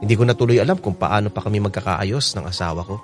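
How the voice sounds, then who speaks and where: A man's voice: fairly low pitch, fast talker, close to an everyday voice. A man with a Filipino accent as a reflective narrator, reading a troubled letter writer's story in a radio drama. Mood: reflective, regretful. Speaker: letter reader. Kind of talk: first-person dramatic narration of a listener's letter. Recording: studio narration with music underneath.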